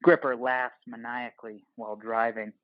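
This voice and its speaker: A man reads scripted narration for an audio play. His voice sits at a middle pitch, and the recording is very dry with a dulled top end. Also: level tone, normal speed, dry recording, highs cut off.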